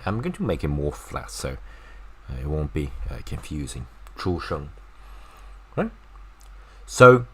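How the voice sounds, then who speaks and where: A man's voice: low-pitched, fairly bright tone, slightly rough. A man from China with a Chinese accent, a native Chinese speaker, teaching an informal language lesson and repeating words to demonstrate pronunciation.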